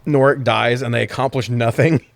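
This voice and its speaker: A man's voice: medium pitch, steady tone, normal speed, gravelly, dry recording. Casual, irreverent man podcasting, dry close sound. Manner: jokey, irreverent, profane. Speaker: podcast co-host reviewing movies. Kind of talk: casual chat, recapping a film plot.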